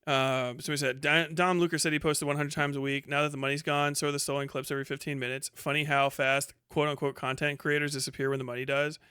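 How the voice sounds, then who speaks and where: A man with a high, clean and smooth voice, recorded dry and close. He hosts a livestream in a casual reaction style, blunt and mocking, with plenty of swearing. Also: level tone, fast, hardly any pauses, sharp tone, no room sound. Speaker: livestream host. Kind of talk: casual live reaction commentary, reading posts aloud.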